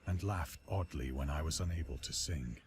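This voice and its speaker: An actor playing a haunted man who confesses his descent into madness, in grave, deliberate, literary narration. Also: a bass voice, a little hiss or hum. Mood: haunted, delirious. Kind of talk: dramatic scripted horror narration.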